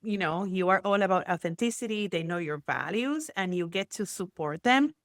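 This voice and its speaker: A woman from Puerto Rico with a Puerto Rican accent, a guest entrepreneur telling her story in a chatty, animated way with many fillers. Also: fairly low pitch, normal speed, no echo, studio quality. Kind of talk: casual interview chat.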